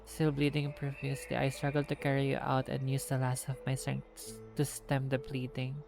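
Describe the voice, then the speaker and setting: A Filipino-accented woman streams a story game, reading the dialogue as she goes. Her voice sits low with a lot of gravelly fry, over faint game music. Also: monotone, brisk, low-quality recording. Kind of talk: reading game dialogue aloud with live reactions.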